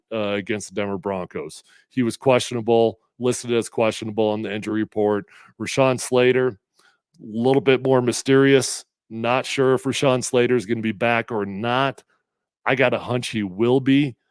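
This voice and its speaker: A middle-aged man hosting casual sports talk, a little congested, energetic and emphatic as he reads comments and makes predictions. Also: medium pitch, normal speed, smooth, no room sound. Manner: energetic, conversational, emphatic with fillers. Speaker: sports radio host previewing a game.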